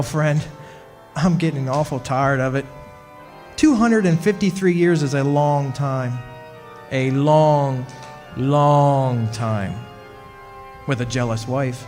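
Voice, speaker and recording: A man's voice: fairly high pitch, a little mellow. Man acting a flustered, henpecked professor in a reverberant hall. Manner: hesitant, confiding, stammering. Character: henpecked, flustered history professor weary of his jealous immortal wife. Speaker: actor in a live radio play. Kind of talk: old-time radio drama narration and dialogue. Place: club hall stage. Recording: reverberant hall, music behind.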